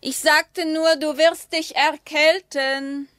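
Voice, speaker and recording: A woman's voice: high voice, steady tone, slow, fairly bright tone, dry recording. An actress playing a sceptical, needling wife in a radio drama, terse and sardonic, with bitter mockery in her delivery. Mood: dismissive, cool. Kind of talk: German radio drama acting.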